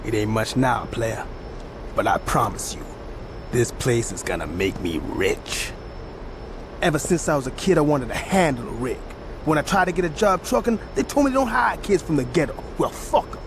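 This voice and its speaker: An actor playing a young, ambitious, defiant street hustler, streetwise and determined, in a video game. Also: male voice, medium pitch, lively intonation, moderate pace, natural voice. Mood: hopeful, defiant. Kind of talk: video game cutscene acting. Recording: clear outdoor traffic noise.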